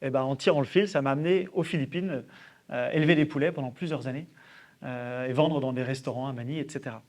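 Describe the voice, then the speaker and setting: A man in his early thirties speaking at a conference, sharing a personal testimony in an informal, halting way and putting questions to himself. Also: medium pitch, a little mellow. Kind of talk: personal testimony in a public talk.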